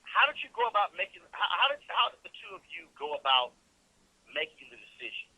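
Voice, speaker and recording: Sharp, forceful male radio host pressing hard. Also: sits high, very animated, fast, husky, no room sound. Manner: pressing, incredulous, repeats points back emphatically. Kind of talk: probing call-in interview. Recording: noisy radio call-in line.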